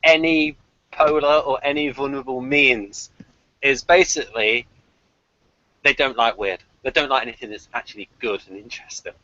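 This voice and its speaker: A man's voice: medium pitch, moderate pace, bright, forceful tone, no room sound, muffled recording. A man co-hosting a casual TV review chat, speaking in an opinionated, emphatic way. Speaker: podcast co-host.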